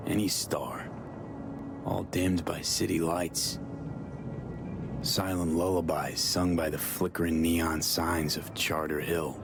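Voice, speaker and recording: A man's voice: low voice, creaky, full character voice. A man playing a cynical, free-spirited street mercenary in a video game, with an American accent and clipped, casual, wry phrasing. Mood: wistful. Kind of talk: cinematic video game acting. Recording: music under the voice.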